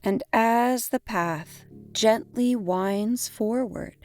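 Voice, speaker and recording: A woman narrating a guided imagery meditation in a gentle, soothing way, in short deliberate phrases with long pauses. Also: a little high. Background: instrumental music.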